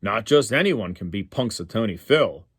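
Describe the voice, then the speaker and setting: A man's voice: on the low side, lively intonation, normal speed, fairly bright tone, husky, no room sound, clean studio sound, heavily acted. A man voicing a sleepy old male groundhog uncle in a storybook, grumbling and dismissive.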